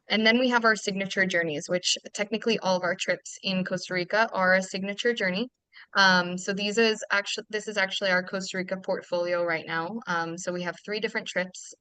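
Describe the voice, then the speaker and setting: Bright, upbeat woman hosting a webinar. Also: medium pitch, clear, resonant tone, dry recording, rough recording. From Canada. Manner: upbeat, many fillers. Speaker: webinar host.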